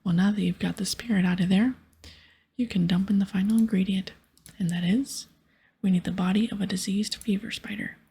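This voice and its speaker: A woman voicing a bossy, sarcastic male pirate captain from a game in a breathy whisper. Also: mid-range voice, fairly even intonation, a little fast, warm tone. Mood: impatient. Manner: brusque, sarcastic, teasing. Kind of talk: whispered game dialogue reading.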